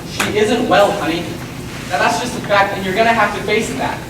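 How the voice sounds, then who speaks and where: A man's voice: sits high, brisk, lightly acted. A man playing a folksy, worried middle-aged small-town father in a stage play. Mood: earnest, worried. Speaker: amateur stage actor. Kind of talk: one-act stage play acting. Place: indoor stage, recorded from far off. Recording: distant, echoey, noisy stage recording.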